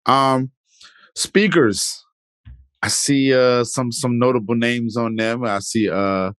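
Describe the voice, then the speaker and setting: A man's voice: medium pitch, fairly even intonation, slow, a bit bright, smooth, no room sound. A man hosting a podcast interview, talking in a laid-back, casual way with "man" and "you know.". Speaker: podcast host.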